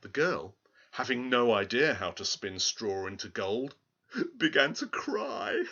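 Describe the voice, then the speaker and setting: A man telling a fairy tale aloud in a theatrical, deliberate, expressive way. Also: lowish voice, lo-fi sound. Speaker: storyteller.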